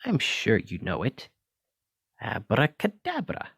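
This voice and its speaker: Excitable, swooping theatrical wizard, played by a man. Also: medium pitch, slow pace, vocal fry, husky, dry recording, clean studio sound, heavily acted. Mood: genteel, instructive. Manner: theatrical, genteel old-fashioned diction, encouraging. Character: clumsy, kindly, excitable male wizard teacher. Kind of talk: ASMR roleplay addressed to the listener.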